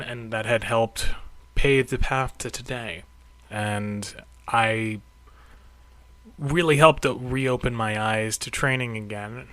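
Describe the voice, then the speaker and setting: A man's voice: fairly low pitch, a bit bright, dry recording. A man talking off the cuff in a casual, reflective audio diary, with an encouraging manner. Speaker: host of a karate training audio log.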